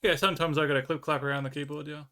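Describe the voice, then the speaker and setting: Bright-voiced Australian man chatting. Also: fairly high pitch, fairly even intonation, very fast. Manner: rambling, reads and answers chat, swears freely. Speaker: live streamer. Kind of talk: casual chat with viewers.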